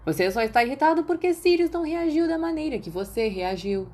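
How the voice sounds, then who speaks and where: A blunt young man acted out by a Brazilian woman narrator scolds and teases in an audiobook. The delivery is emphatic and pushy, lifted in pitch, with faint noise behind it. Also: expressive, fairly quick, darkish tone. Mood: exasperated. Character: blunt, teasing imaginary young man giving advice.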